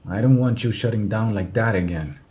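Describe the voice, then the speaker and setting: A man playing a gruff teenage school bully with a protective, flustered side in a scripted audio roleplay. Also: lowish voice, brisk, darkish tone, natural voice. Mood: flustered, apologetic. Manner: brusque, tender underneath.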